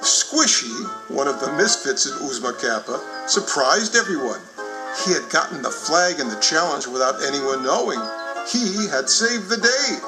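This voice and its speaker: A man reading scripted children's story narration with expressive, clearly phrased storytelling. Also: fairly high pitch, moderate pace, rough recording. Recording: music behind the voice.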